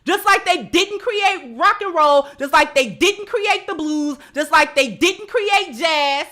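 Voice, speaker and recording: Lady livestreamer w a high sharp voice, really emphatic, repeats stuff to make her point. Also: animated, takes it slowly. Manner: emphatic, repeats phrases for effect. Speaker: livestream host. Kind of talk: impassioned livestream commentary to an audience, reading out chat messages.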